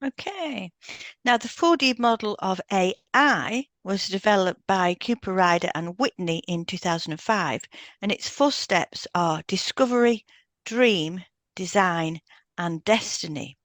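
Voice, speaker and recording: A woman's voice: medium pitch, expressive, measured pace, a bit bright, no room sound. A woman reading a semi-scripted explanatory podcast as co-host.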